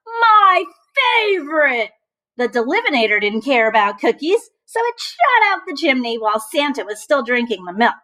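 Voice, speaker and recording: Woman, high voice, teacher, children's storybook read-aloud, expressive, emphatic, chatty asides. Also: a little fast, few pauses, rough recording.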